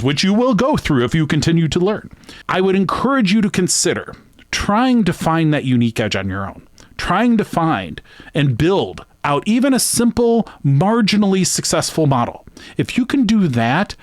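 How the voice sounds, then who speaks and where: A man hosting a sports betting channel, talking alone to his viewers in a rambling, earnest, conversational way that swings between emphatic bursts and hushed asides. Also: on the high side, no echo. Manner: long-winded. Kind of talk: reflective solo monologue to camera.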